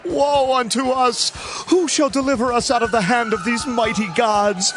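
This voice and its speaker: Frightened Philistine soldier, very high strained pitch, wailing delivery, scripture audio drama, loud music underneath. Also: male voice, moderate pace. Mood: terrified. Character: panicking Philistine warrior, dreading mighty gods.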